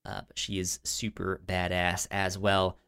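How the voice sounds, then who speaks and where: A man co-hosting a casual pop-culture news chat, stuttering on sounds and syllables, with halting, informal phrasing. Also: sits low, completely flat delivery, gravelly, fairly bright tone, smooth. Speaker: podcast co-host.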